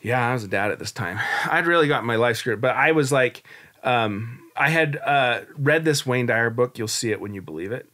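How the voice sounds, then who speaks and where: Guy in his 40s, bright crisp voice, rambling and candid as a podcast guest. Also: mid-range voice, animated, a little fast. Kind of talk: casual interview storytelling.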